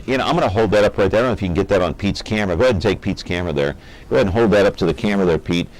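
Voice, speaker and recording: This is a man with a fairly low-pitched voice. He works as the host of an interview program. His delivery is conversational and curious, with open enthusiasm for what he presents. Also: low-quality recording.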